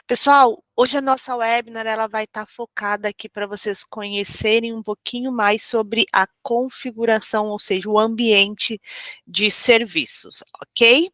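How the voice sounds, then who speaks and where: Female webinar trainer, Brazilian accent, slightly under the weather with a cold. Bright, crisp-edged delivery, friendly explainer, dry recording. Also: fairly high pitch, fairly even intonation, unhurried, dry recording. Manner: friendly, informal, explanatory with frequent check-ins. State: has a cold. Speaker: webinar host and software trainer. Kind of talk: live webinar presentation.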